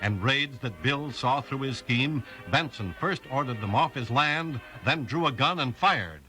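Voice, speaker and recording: A male 1950s radio announcer with a mid-range voice, narrating a Western serial from a script. Loud music plays behind him on an old broadcast recording. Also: medium pitch, lively intonation, measured pace.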